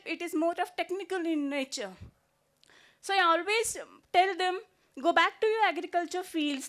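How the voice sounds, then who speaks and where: A woman's voice: very high-pitched, a bit bright. A woman asks a question at a panel. She sounds earnest and close to pleading. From India. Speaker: audience questioner, teacher.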